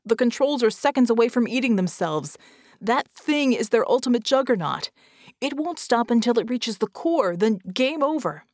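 Woman voicing an exasperated, yelling action hero. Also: fairly high pitch, animated, normal speed, dry recording, studio quality, pitched above the performer's own voice, lightly acted. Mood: alarmed. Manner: yelling, emphatic, sarcastic.

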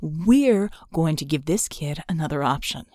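A woman's voice: deep voice, lively intonation, measured pace, darkish tone, no echo, pitched below the performer's own voice. A grim and decisive male hero, put on by a woman narrator, in a dry audiobook recording. He speaks firmly and tersely, with a resolute edge.

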